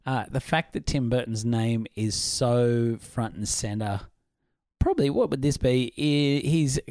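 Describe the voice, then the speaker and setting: Husky-voiced man hosting a podcast. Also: medium pitch, animated, a little slow, a little mellow, no echo, clean studio sound. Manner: chatty, enthusiastic, laughs often. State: husky from illness. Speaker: podcast co-host. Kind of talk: casual film podcast chat.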